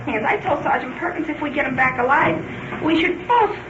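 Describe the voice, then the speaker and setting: A woman's voice: high voice, lively intonation, normal speed. A distraught woman, a worried mother, talking emotionally with police officers. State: anxious, distraught. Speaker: mother of a missing child. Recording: echoey muffled field tape, noisy.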